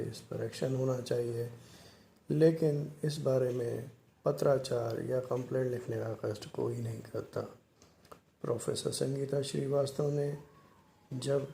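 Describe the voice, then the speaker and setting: Slow-spoken, mellow man explaining over noise. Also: mid-range voice, creaky. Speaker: commentary video narrator. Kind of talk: explanatory social commentary addressed to viewers.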